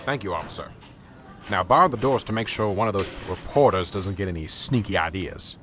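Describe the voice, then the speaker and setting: A man's voice: sits low, brisk, fairly bright tone, slight background noise. An actor playing an exasperated male police sergeant in an amateur radio drama. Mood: weary. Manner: curt, exasperated. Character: gruff weary male small-town police sergeant.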